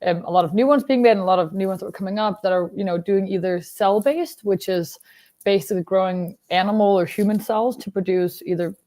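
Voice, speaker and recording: A young woman from Denmark, a biotech startup founder, answering interview questions in a relaxed, explanatory way, full of fillers. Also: medium pitch, fairly quick. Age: young adult.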